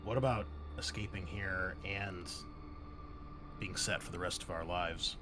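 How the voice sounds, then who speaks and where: A man playing a low-voiced, scheming corporate woman. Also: fairly even intonation, measured pace, background noise. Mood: conspiratorial. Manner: coaxing, condescending. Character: persuasive, scheming corporate woman bargaining under her breath.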